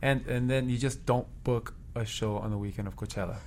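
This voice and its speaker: A man in a band talking casually in an interview, an animated and earnest storyteller. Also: medium pitch, brisk, a little hiss or hum. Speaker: band member.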